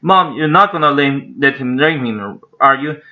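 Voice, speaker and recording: A man's voice: mid-range voice, expressive, moderate pace, voice pushed up, close to an everyday voice. A man voicing a sulky, sarcastic older boy who whines and complains. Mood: whiny. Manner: whiny, complaining. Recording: dull lo-fi recording.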